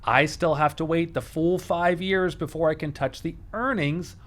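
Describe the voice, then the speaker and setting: A man's voice: a little high, steady tone, normal speed, fairly bright tone. A man hosting his own explainer channel, talking straight to the viewer in an upbeat, direct way and working through examples step by step. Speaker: financial educator, channel host. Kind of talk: explainer video to camera.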